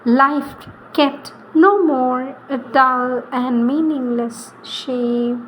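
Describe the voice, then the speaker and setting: A woman reads spiritual poetry in a solemn, devotional style, deliberately, pausing between short phrases. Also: high-pitched, fairly even intonation, a little hiss or hum, poor audio. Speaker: reader.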